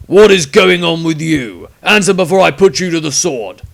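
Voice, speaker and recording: A menacing fantasy witch hunter, acted out by a male narrator, spiteful and full of zeal. He projects loudly and lifts his pitch as he accuses and commands, like a scarred fanatic from an audiobook. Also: lively intonation, normal speed, vocal fry. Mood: furious, zealous. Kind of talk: fantasy audiobook character acting.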